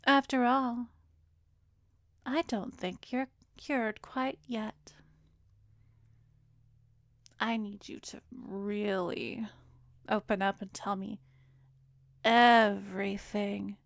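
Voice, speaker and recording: Woman playing a slow-speaking, haughty vampire seductress. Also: medium pitch, level tone, dry recording. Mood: teasing, commanding. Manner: haughty, teasing, drawn-out delivery with breathy whispers. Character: arrogant, seductive female vampire posing as a therapist. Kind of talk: roleplay ASMR, slow hypnotic close-up acting.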